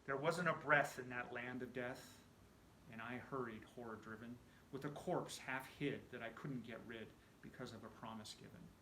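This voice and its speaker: A man giving an animated, theatrical recitation of a narrative poem, often dropping to a hush as phrases end. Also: medium pitch, normal speed, echoey room, a little hiss or hum, poor audio. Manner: animated, theatrical storytelling with hushed phrase endings. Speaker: amateur poetry reciter.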